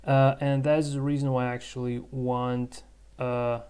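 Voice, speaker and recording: A man whose first language is Russian, teaching English by thinking aloud in a halting way, with many fillers and restarts. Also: medium pitch, little pitch movement, darkish tone, clean tone. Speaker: English tutor. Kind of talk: screen-recorded language lesson.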